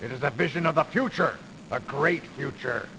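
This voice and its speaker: A man playing a crooked, persuasive industrialist in a video game, with a gravelly voice and insinuating, theatrical delivery. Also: mid-range voice, normal speed, lightly acted. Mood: grandiose, persuasive. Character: crooked, smooth-talking industrialist man, calculating, persuasive schemer. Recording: noisy early CD game audio.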